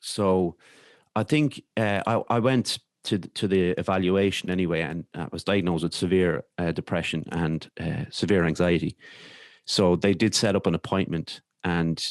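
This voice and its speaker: Irish guy on a podcast, low voice, kinda halting and reflective, real dry close sound. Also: fairly even intonation, no room sound. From Ireland. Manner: halting, reflective, repeats words and trails off. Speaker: podcast guest. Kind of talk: personal storytelling in an interview.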